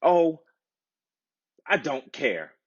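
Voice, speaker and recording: A man's voice: lowish voice, very animated, unhurried, breathy edge, exaggerated voice. A man voicing a spoiled, impatient young princess who wails and snaps. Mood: snappish. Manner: wailing, snappish. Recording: dull lo-fi recording.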